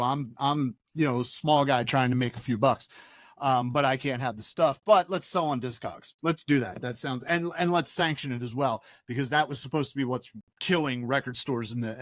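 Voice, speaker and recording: A man's voice: medium pitch, brisk, fairly bright tone, dry recording. A man, co-hosting a casual podcast from his record shop, ranting and swearing with energy. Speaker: podcast co-host and record store owner. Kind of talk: casual chat.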